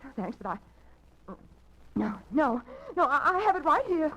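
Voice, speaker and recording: A woman's voice: sits high, big pitch swings, moderate pace, a bit bright, a little hiss or hum. A frightened, stammering young woman. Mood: fearful. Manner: anxious and breathless, stammers. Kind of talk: 1940s radio acting. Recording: dull lo-fi 1940s radio broadcast.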